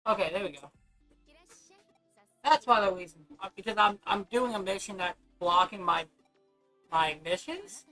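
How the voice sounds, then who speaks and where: Hoarse, rambling woman streamer. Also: medium pitch, lively intonation, normal speed, pauses often, sharp tone, soft background music, low-quality recording. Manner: rambling, loose, with many restarts. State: scratchy, hoarse voice. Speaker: gaming livestreamer. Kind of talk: casual chat while playing.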